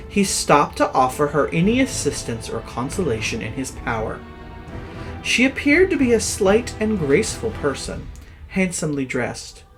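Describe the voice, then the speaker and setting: Theatrical man with swooping, animated delivery. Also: on the high side. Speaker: horror story narrator and host. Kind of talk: dramatic scripted narration.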